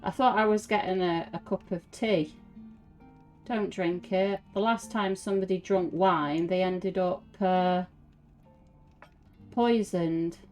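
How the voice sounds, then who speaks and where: A woman's voice: fairly low pitch, steady tone, slow, darkish tone, soft background music. A woman giving casual, playful commentary as she reacts to game cutscenes. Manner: playful, chatty.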